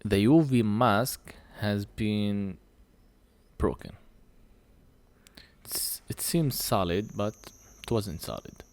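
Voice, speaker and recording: A man who speaks English as a second language gives a hands-on repair tutorial in halting short phrases, a little short of breath. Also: fairly low pitch, animated, a little mellow. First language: not English. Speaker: phone repair technician presenting a tutorial.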